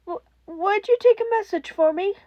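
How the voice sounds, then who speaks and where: A woman voicing a timid, despairing young boy in an audiobook, halting and stammering with a soft, cracking voice. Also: very high-pitched, no echo, highs cut off, pitched above the performer's own voice. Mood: shaky, resigned. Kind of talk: audiobook character acting.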